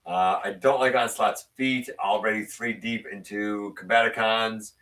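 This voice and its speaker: A man hosting a live stream, reading viewer comments and answering them in casual, opinionated chat, his voice sometimes a little raspy. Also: fairly even intonation, measured pace, fairly bright tone, distant mic. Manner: opinionated, ranting with rising pitch when worked up.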